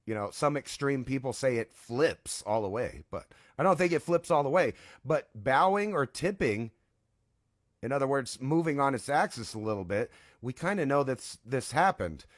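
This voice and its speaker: A man's voice: mid-range voice, expressive, moderate pace, dry recording. Casual male co-host, dry close sound. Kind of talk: casual, rambling discussion.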